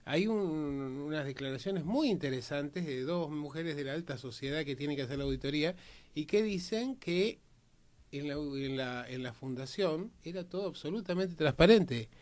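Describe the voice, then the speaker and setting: An Argentine man giving conversational historical commentary as a panelist, often pausing mid-phrase. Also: on the high side, a little mellow.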